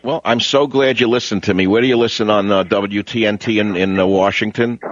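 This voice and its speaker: A man's voice: on the low side, steady tone, a little fast, dry recording. An older man hosting a talk radio show, emphatic and opinionated, often restarting his sentences. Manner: emphatic, repeats himself, many restarts. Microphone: studio microphone. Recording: dull, dry studio broadcast sound.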